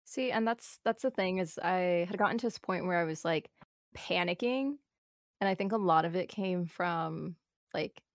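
A woman's voice: fairly low pitch, dry recording, studio quality. Young woman chatting earnestly, lots of likes. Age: young adult. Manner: fast, filler-heavy, earnest talk. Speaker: guest streamer. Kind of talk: casual chat.

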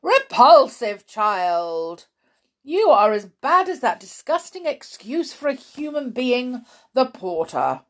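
Cruel, swooping, high-pitched hospital matron. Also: female voice, big pitch swings, slow pace. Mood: disgusted, demanding. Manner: theatrically scornful, sarcastic, snapping. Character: cruel, sneering hospital matron, mocking and bossy.

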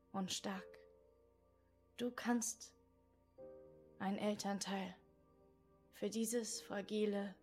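A woman leads a guided meditation in a soft voice that often drops to a whisper. She speaks very slowly, with a gravelly, creaky edge to her tone. Faint music plays under her voice. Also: medium pitch. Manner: slow soft whispery guidance. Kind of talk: guided inner-child meditation.

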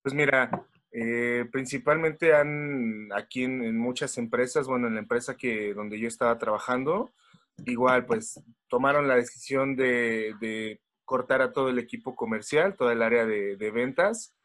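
A man from Mexico City with a Mexican accent, a podcast guest who talks haltingly over a video call. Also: mid-range voice, fairly bright tone. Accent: Mexican Spanish. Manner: halting, many fillers and restarts. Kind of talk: casual chat.

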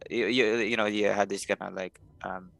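A man whose first language is Tagalog, chatting casually as a podcast guest, halting and repeating words as he searches for them. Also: lowish voice, steady tone, vocal fry, fairly bright tone, dry recording. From Philippines. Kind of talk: casual chat.

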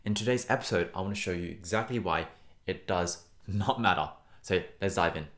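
A man's voice: deep voice, fairly quick, fairly bright tone. A man who works as a voice teacher and host, talking conversationally to listeners in an encouraging, emphatic and reassuring way. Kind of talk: conversational singing lesson to listeners.

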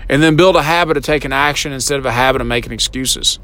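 A man with a slightly hoarse voice, hosting a solo motivational podcast, earnest and repeating himself, often asking "right?" and slowing down to stress a point. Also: mid-range voice, a bit bright. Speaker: podcast host.

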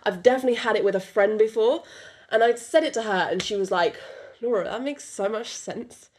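A woman's voice: on the high side, a little fast, slight background noise. A young woman with a British accent, French her first language, hosting a podcast in a rambling casual chat full of fillers. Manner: rambling, many fillers and restarts. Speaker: podcast host.

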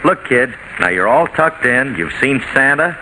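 A man's voice: medium pitch, lively intonation, a little slow, natural voice. An actor playing a doting but easily exasperated father at bedtime in 1940s radio comedy style. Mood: coaxing. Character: doting father putting little daughters to bed, quickly exasperated. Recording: noisy old radio broadcast recording.

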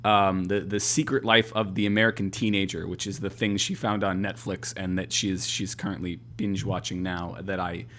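Male co-host in his thirties, bright tone, rambling and hesitant. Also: low-pitched, little pitch movement, normal speed, hardly any pauses. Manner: rambling, hesitant, with frequent restarts. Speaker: podcast co-host. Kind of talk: casual chat.

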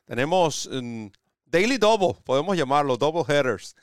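Clear-voiced male horse racing host. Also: fairly high pitch, sing-song, moderate pace, dry recording, clean studio sound. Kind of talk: sports show presenting and race preview.

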